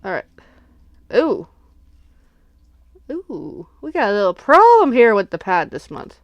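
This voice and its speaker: A woman's voice: a little high, wildly swooping pitch, unhurried, no echo, muffled recording. A sniffly woman hosting a casual crafting livestream, chatting and thinking aloud as she unboxes and works at home.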